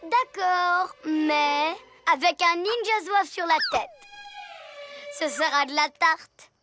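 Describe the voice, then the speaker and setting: A bratty little ninja boy speaks in a high, slow-paced voice. He is whiny and boastful, a sore loser of a cartoon villain, with music behind him. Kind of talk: French children's cartoon dubbing.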